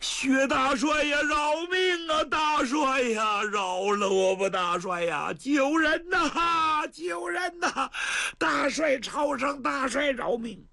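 A man's voice: extremely high pitch, lively intonation, takes it slowly, gravelly, sharp tone, pitched above the performer's own voice, full character voice. A man voicing a cowardly captured prince, wailing and drawling his pleas for mercy. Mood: begging, wailing.

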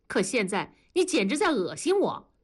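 A woman's voice: on the high side, sing-song, moderate pace, breathy edge. A woman narrator voicing a sullen, sarcastic twenty-one-year-old daughter who lashes out bitterly at her parents in an audiobook drama. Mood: impatient, scornful. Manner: sarcastic, defiant, accusing.

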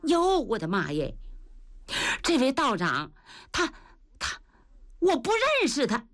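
A woman playing a fierce, sharp-tongued young swordswoman. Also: high voice, wildly swooping pitch, full character voice. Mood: flustered. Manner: scolding, rapid and indignant.

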